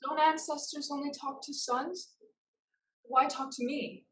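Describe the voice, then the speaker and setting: An actress plays a proud young woman with a high voice and slow, deliberate lines. She is firm and earnest, a determined stage heroine. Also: fairly even intonation, a bit bright, rough recording. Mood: earnest, wistful. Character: proud, determined young woman pirate-in-training, devoted to family. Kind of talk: theatrical staged reading.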